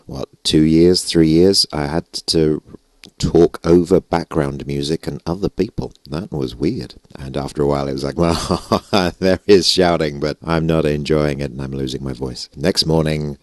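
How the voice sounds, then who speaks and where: A British man with a hoarse, gravelly voice, rambling and joking at himself through casual game commentary on a close stage mic. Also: rumbling low, steady tone, moderate pace, dry recording. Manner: rambling, self-mocking, chuckling. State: hoarse, gravelly voice after losing it. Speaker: gaming YouTuber. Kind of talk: casual let's-play commentary. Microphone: stage vocal mic close up.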